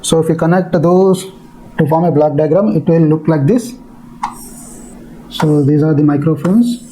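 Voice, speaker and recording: A male teacher speaks in a high, mellow voice. He explains step by step in a halting way, often repeating his phrases. A little background noise sits behind him. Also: rough recording. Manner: halting, repetitive delivery. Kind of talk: explanatory technical lecture.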